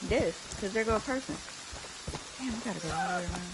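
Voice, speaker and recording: A woman's voice: medium pitch, fast, very noisy. An American woman chatting casually and profanely as she plays a video game, exclaiming in slang. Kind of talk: casual gaming chat. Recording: loud music behind the voice. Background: loud music and game sound.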